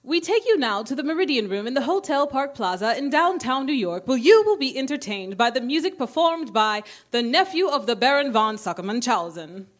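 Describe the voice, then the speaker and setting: A woman's voice: sits high, sing-song, brisk, hardly any pauses. A woman playing a formal 1930s news announcer reading scripted bulletins in a radio play. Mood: formal, matter-of-fact.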